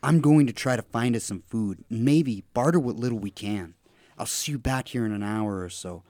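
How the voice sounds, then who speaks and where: A man's voice: medium pitch, normal speed, a little mellow, no room sound, natural voice. A man playing a poor, worried father in a radio play, coaxing and earnest.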